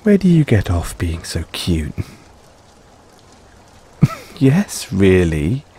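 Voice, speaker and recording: A man's voice: deep voice, exaggerated voice. A man playing an affectionate, teasing middle-aged father doting on his younger boyfriend in an intimate audio roleplay, speaking playfully and fondly to the listener. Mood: fond amusement. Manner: playful, fond, chuckling between unhurried phrases. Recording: steady rain noise in background. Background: rain falling.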